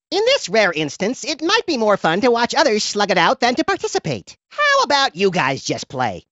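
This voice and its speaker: Game role: gleefully violent little rabbit creature, theatrical and flippant, wildly swooping delivery, dry slightly dull recording. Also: male voice, high-pitched, dry recording, full character voice. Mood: flippant, wry. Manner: flippant, theatrical, deadpan absurd menace. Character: manic, violent, gleeful cartoon rabbit-like creature, male, small. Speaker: video game character. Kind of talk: comedic video game voice acting.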